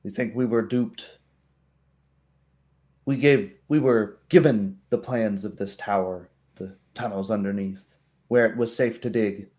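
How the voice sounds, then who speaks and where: A man voicing a wary, weary female kobold in a tabletop roleplay, speaking haltingly with pondering hums. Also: on the low side, darkish tone, natural voice.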